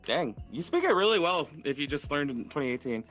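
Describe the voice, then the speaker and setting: A man who streams, chatting as a guest in an animated, self-deprecating way. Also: fairly high pitch, brisk, soft background music, rough recording. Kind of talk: casual chat.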